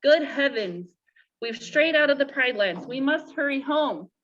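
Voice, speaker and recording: Lady reading as a prim fussy bird guardian in a kids storybook, high voice, muffled sound. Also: lively intonation, unhurried, fairly bright tone, slight background noise. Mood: alarmed. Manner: formal, pleading and scolding. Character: fussy, proper male bird minder, easily flustered.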